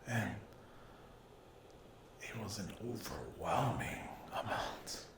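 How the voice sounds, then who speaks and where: A man hosts an ASMR channel in a soft whisper, pausing often and taking his time. His low voice crackles with fry. The sound is roomy, with a light echo around every phrase. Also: lively intonation. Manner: hushed, halting delivery with long pauses. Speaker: ASMR creator and host. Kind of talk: whispered ASMR channel intro, addressing viewers.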